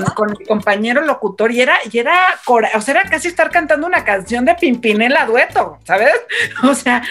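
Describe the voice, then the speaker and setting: A middle-aged woman with a Mexican accent, telling stories in a casual, animated way as a radio announcer on a panel. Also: fairly high pitch. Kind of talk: casual anecdotal chat.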